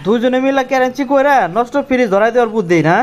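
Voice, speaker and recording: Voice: medium pitch, rapid-fire, slight background noise, natural voice. Casting: hot-headed, cheeky young village schemer played by an actor, rural Bangladeshi accent, colloquial and argumentative, comic cartoon. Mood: angry, accusing. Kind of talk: comic Bengali cartoon dialogue.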